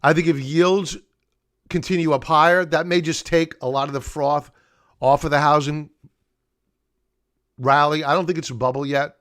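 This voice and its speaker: A man's voice: a little high, fairly even intonation, moderate pace, pauses often, fairly bright tone. A middle-aged man hosting a live market analysis stream, talking off the cuff and explaining in a conversational, stop-and-start way. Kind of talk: unscripted live commentary, chatting to viewers.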